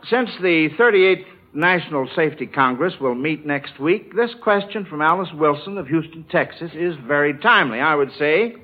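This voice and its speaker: An American man hosting a mid-century radio quiz show in a folksy announcer's delivery, on a vintage radio recording. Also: on the high side. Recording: vintage radio, narrow telephone-like band.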